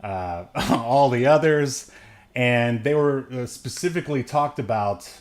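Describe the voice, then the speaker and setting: A man co-hosting a podcast, talking casually and haltingly about entertainment news, often chuckling mid-sentence. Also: mid-range voice. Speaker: podcast co-host. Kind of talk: casual chat, movie news commentary.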